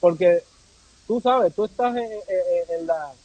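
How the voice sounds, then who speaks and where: A man's voice: high-pitched, takes it slowly, mellow, dry recording, poor audio. A man from Puerto Rico with a Puerto Rican accent, talking casually and reflectively as a podcast guest, repeating phrases for emphasis. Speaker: podcast guest, travel content creator. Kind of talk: casual conversational interview.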